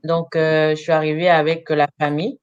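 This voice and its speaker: A woman in her thirties from Congo, answering interview questions about her life as a career counselor, often pausing with filler sounds. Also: low voice, flat delivery, clear, resonant tone, no echo, dull audio. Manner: hesitant, frequent filler pauses.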